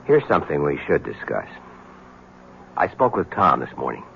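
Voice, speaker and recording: An actor playing a skeptical, easygoing newspaper reporter in a 1970s radio drama. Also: male voice, very deep voice, normal speed, gravelly, slight background noise, heavily acted. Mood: probing. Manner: skeptical, soothing, lively intonation. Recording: dull 1970s radio broadcast.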